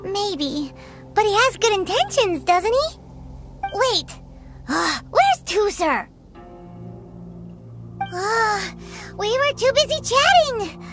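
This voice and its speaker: Small childlike companion, squeaky-high pitch, slow pace, chatty, earnest, video game acting. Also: female voice, sing-song, over music, full character voice. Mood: concerned.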